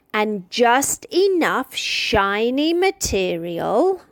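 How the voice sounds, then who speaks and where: A British woman reads a children's picture book aloud with a very animated, fairly high voice. She takes her time over each phrase as a storyteller, and the sound is close and dry. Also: no room sound. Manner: expressive, deliberate storytelling.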